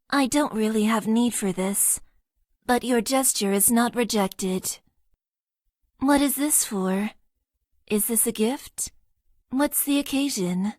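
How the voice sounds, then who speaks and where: A woman voicing a shy, formal young mecha pilot in a game, speaking softly in stiff, literal phrases. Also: on the high side, no room sound, studio quality. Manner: soft-spoken, stiff and literal. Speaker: voice actress. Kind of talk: game character voice lines.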